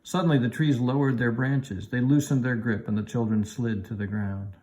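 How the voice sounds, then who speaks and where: A man reading a children's storybook aloud in an animated narrating style. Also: medium pitch, moderate pace. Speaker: elementary teacher reading aloud.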